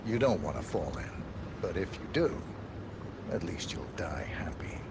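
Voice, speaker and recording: An actor playing a composed tour guide in a video game, with a measured, formal, deadpan delivery and sinister dry humour. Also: male voice, deep voice, heavy background noise, full character voice. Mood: composed, instructive. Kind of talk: scripted video game dialogue. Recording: loud machinery and ambience behind.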